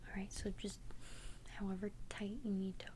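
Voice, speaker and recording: A woman playing a protective vampire best friend in a whispered ASMR roleplay, speaking breathily in a soothing, halting, reassuring way. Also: medium pitch, monotone, a little mellow, a little hiss or hum, close to an everyday voice. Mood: gentle, cautious.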